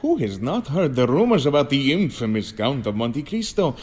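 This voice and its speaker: An actor playing a cocky, shrewd bandit chief in an audio drama, with a taunting, theatrical manner. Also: male voice, mid-range voice, sing-song, moderate pace, darkish tone. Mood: mocking, challenging.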